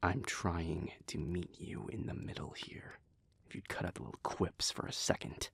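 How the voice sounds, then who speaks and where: A man's voice: deep voice, normal speed, gravelly, fairly bright tone, breathy edge, in a whisper, heavily acted. A man playing an exasperated, blunt and defensive male vampire in an audio roleplay, terse and argumentative. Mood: weary.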